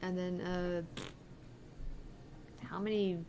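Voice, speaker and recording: A woman's voice: fairly low pitch, little pitch movement, slow, warm tone, a little hiss or hum. A woman co-hosting a gaming channel, chatting casually as she plays. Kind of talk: casual gameplay chat.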